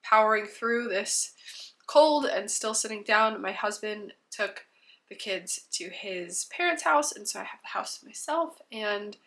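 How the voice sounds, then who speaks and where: A woman's voice: mid-range voice, expressive, measured pace, pushed and bright. A woman in her thirties, congested from a cold, chatting casually to camera as a homeschooling mom, rambling with frequent ums.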